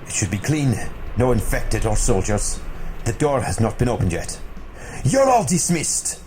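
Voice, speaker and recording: A man's voice: mid-range voice, big pitch swings, measured pace, a little mellow, soft background music. A young man in an amateur radio drama playing a stern male commanding officer who gives formal, clipped orders.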